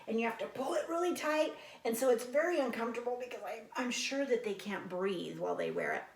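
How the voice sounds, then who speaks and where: A woman reads a storybook aloud, breaking off for casual explanations to young listeners. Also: a little high. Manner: explains to young listeners, animated sound imitations. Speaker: read-aloud teacher. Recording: echoey room.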